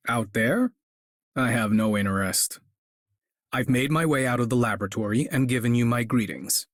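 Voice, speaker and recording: A man's voice: medium pitch, dry recording, clean studio sound, close to an everyday voice. A man voicing an aloof, curt research scientist with a cold, formal manner in scripted video game lines.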